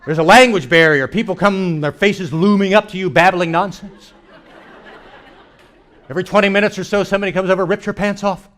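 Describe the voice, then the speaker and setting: Animated man with a high-pitched voice. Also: brisk. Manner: animated, acts out reactions and dialogue. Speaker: comedian and keynote speaker. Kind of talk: stand-up comedy storytelling to a live audience. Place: church hall in front of a live audience. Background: audience laughter.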